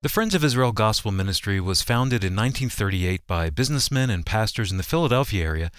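A male radio announcer with a fairly low voice delivers a scripted promo. His intonation is animated and his words are measured and distinct, recorded dry and close. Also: clean tone, no echo.

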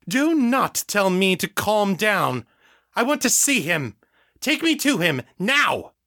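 Man playing distraught middle-aged mother, high-pitched, tearful, dry close audiobook sound. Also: unhurried, creaky, slightly rough, dry recording, exaggerated voice. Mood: raging. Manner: shrill, tearful, pleading.

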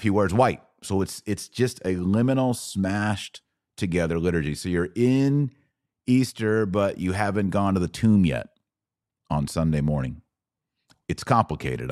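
A man's voice: on the low side, dry recording, clean studio sound. A man hosting a podcast, explaining religious practice in an informal, enthusiastic way and often answering his own questions. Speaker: podcast host.

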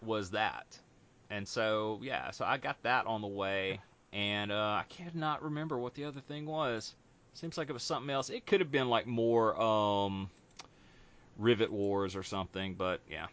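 A man's voice: lowish voice, moderate pace, dull audio. A man hosting a podcast in casual chat, rambling with lots of fillers. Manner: rambling, relaxed, many fillers. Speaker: podcast host.

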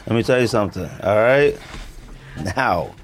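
A man's voice: fairly low pitch, normal speed, slight background noise. A middle-aged man hosting a podcast, chatting casually and laughing as he keeps insisting he is not joking. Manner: animated, laughing storyteller, repeats "I'm not even joking". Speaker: podcast host. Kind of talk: casual chat with ad read.

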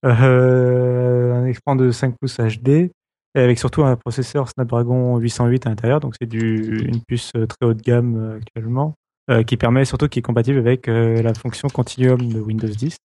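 Flat, mellow man in a dry room. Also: medium pitch, moderate pace, no room sound. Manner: hesitant with many fillers. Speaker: tech reporter guest. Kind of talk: casual podcast chat.

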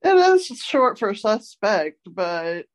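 A woman's voice: mid-range voice, sing-song, slow. A woman co-hosting a casual talk segment, chatting and reading out word definitions. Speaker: co-host leading a word segment. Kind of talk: casual chat, reading out dictionary entries.

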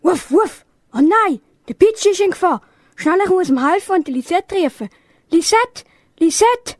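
A brave, helpful dog acted by a young girl in a school radio play. The voice is high and eager, recorded dry. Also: lively intonation, normal speed, no echo. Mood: urgent. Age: young teenager. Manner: eager, barks out woofs. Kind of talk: amateur radio play acting.

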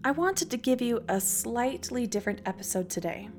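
A woman podcast host giving measured scripted narration and reading a poem aloud. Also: a little high, lively intonation, a little mellow.

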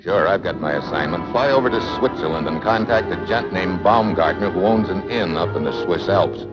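A low-pitched, hard-boiled American secret agent played by a man, full of dry wisecracks. He talks like a 1950s spy serial hero, on a dull vintage soundtrack with music behind him. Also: moderate pace, close to an everyday voice. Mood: sardonic narration. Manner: wisecracking, sardonic. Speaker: lead actor and first-person narrator. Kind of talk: 1950s television spy drama acting and narration.

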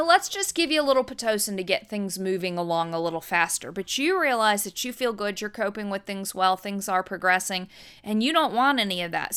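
A woman's voice: medium pitch, fairly bright tone, clean tone. A middle-aged American woman hosting a podcast, explaining in an earnest teacher's manner and repeating words for emphasis. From United States. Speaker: podcast host and birth educator. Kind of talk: teaching monologue.

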